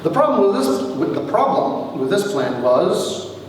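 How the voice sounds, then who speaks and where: A man giving a wry dramatic reading of his own humorous story to an audience, with theatrical pauses. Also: sits high, big pitch swings. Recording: echoey hall from far off, faint noise.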